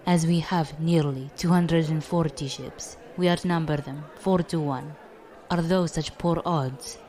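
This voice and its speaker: A woman plays a stern, dignified admiral in a space audio drama. Her speech is formal and measured, every phrase fully spelled out with commanding poise. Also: sits low, steady tone, warm tone. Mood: calmly firm. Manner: formal, measured, avoids contractions. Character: stern, dignified, loyal female fleet admiral.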